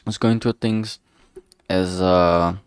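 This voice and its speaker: Low-voiced man, casual halting streamer. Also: fairly even intonation, clear, resonant tone, no room sound. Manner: frank, heavily swearing, halting with self-corrections. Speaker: streamer, game developer. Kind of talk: casual rambling livestream chat.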